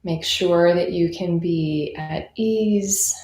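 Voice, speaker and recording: Woman doing guided meditation, slightly low voice, conversational n reassuring, echoey room. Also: slow pace, a little mellow. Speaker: meditation teacher. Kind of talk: guided meditation, gentle unscripted instruction. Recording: roomy, echoing sound.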